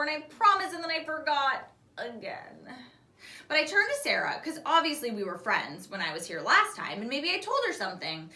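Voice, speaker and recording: A woman reading a children's novel aloud like a teacher, expressive and animated, acting out the dialogue. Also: high voice. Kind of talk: expressive storybook read-aloud. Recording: roomy echo, distant mic.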